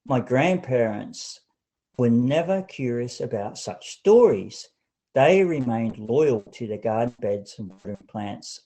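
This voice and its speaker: Expressive male audiobook narrator, mellow voice. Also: mid-range voice, poor audio. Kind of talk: scripted story narration.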